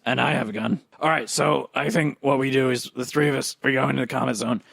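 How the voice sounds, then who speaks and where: A man with a gravelly, husky voice improvising in character in a tabletop game, halting and repeating words. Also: medium pitch, fairly even intonation, fairly bright tone, no room sound. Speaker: player in a tabletop roleplay game.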